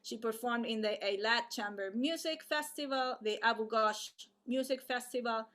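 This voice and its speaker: A woman hosting an online arts event over a video call, introducing a guest in a halting way with many fillers and restarts. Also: a little high, a bit bright.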